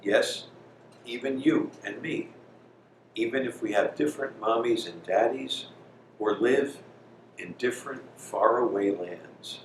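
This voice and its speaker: A man speaking as a priest reading a bedtime story to young children, with gentle, deliberate phrasing. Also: medium pitch. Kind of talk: slow storybook reading with simple explanations for children. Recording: roomy, distant microphone, clear background noise.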